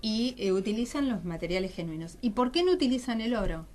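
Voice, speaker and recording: A middle-aged Argentine woman speaking as a guest in a conversational radio interview, explaining things and often pausing to search for words. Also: medium pitch, lively intonation, darkish tone. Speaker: guest, writer and journalist.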